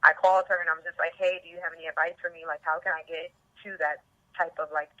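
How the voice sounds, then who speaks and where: A woman's voice: deep voice, level tone, fast, bright, forceful tone, no room sound, lo-fi sound. An American woman from New York chatting casually as an interview guest, outgoing, talkative and slangy, over a band-limited line. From Bronx and Harlem, New York, United States. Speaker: interview guest, TV host and film reporter.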